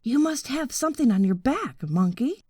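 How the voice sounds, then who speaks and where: A woman voicing a nosy storybook tortoise who asks questions in a quiet, deliberate way. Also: sits high, big pitch swings, slightly rough, no echo, clean studio sound, exaggerated voice. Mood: inquisitive. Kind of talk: children's storybook character voice.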